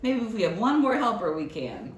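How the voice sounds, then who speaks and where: An animated woman speaks in an echoey room with noticeable background noise. She tells stories and explains simple steps casually, as a host would to a small child, asking plenty of easy questions. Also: mid-range voice, brisk. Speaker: library staff host of a children's story and recipe video. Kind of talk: casual storytelling and cooking demo with a child. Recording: roomy echo, clear background noise.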